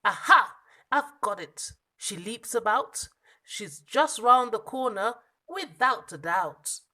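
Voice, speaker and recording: A woman's voice: a little high, expressive, slow pace, bright, forceful tone, heavily acted. A woman voicing an eager, confident but muddled butterfly from a children's storybook, coaxing and encouraging with emphasis. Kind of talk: children's storybook character reading.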